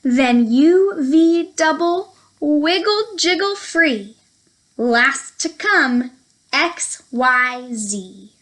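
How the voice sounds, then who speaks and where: Slow, sing-song woman reading to toddlers. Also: sits high. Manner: slow, sing-song and very animated, with playful whispers. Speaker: children's storytime presenter. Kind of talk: reading a picture book aloud to small children.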